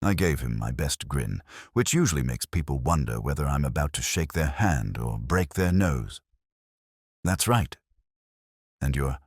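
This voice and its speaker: A man's voice: a bass voice, lively intonation, a little slow, husky, no room sound, studio quality, full character voice. A man voicing a wisecracking tough detective's assistant who narrates a hardboiled audiobook mystery. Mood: cocky.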